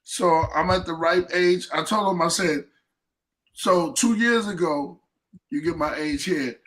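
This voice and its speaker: A man's voice: a little high, measured pace, fairly bright tone, low-quality recording. A man in his fifties hosting a podcast, chatting casually with a laid-back manner, laughing often and repeating himself. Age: 50s. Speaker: podcast host. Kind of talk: casual chat.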